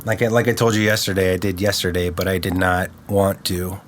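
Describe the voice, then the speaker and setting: Congested low-voiced male guest. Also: fairly even intonation, fairly quick, a little hiss or hum. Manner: easygoing, short replies. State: congested, stuffed up. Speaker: guest music producer. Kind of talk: casual chat.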